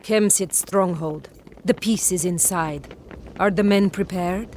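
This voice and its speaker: A woman's voice: fairly low pitch, slow, a little mellow, a little hiss or hum. A woman playing a proud, commanding warrior leader in a video game, speaking in a resolute, declamatory way. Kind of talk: dramatic video game acting.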